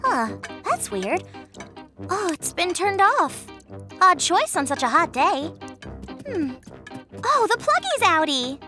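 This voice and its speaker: A sweet young female fox in a kids' cartoon. Her voice is very high and lively, breezy and a little wry. Music runs behind it. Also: takes it slowly, long pauses, heavily acted. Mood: breezy, puzzled. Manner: chirpy, casual, lightly wry.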